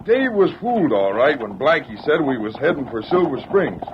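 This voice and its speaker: A man's voice: mid-range voice, big pitch swings, moderate pace, natural voice. A man playing a deferential but doubting outlaw henchman in an old radio Western, talking folksily with an American Western accent. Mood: doubtful. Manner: folksy, eager to please the boss. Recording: muffled, noisy old radio broadcast.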